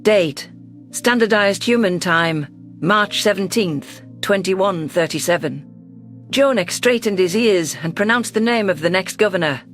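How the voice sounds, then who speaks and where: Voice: mid-range voice, measured pace, fairly bright tone, soft background music. A narrator reading first-person science fiction in a scripted, dramatic storytelling style. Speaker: narrator of sci-fi audio stories.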